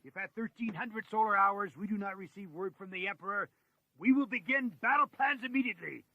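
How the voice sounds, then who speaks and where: A man's voice: high voice, fairly quick, muffled recording. A man playing a decisive council leader in a science fiction audio drama, speaking formally and declaiming. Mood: solemn, resolute. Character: decisive male council leader readying for war.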